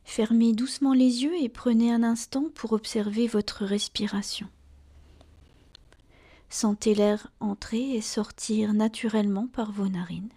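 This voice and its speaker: Female meditation guide: soft, breathy near-whisper, dark and relaxed tone, soothing short phrases with long pauses. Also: a little high. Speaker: yoga nidra guide.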